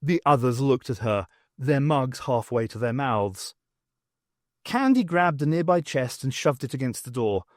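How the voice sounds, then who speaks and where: A man narrating scripted fiction for an audiobook in an expressive, theatrical storytelling manner. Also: mid-range voice, unhurried, dry recording, clean studio sound.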